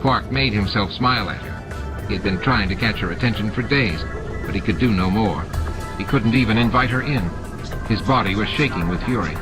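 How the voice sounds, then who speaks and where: Low-voiced male audiobook narrator over music. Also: brisk, low-quality recording. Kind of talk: scripted dramatic narration. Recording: music and sound effects behind. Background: music, added sound effects.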